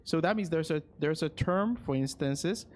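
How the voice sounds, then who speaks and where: A man's voice: a little high, moderate pace, darkish tone. A man doing casual live game commentary as a streamer, thinking aloud hesitantly.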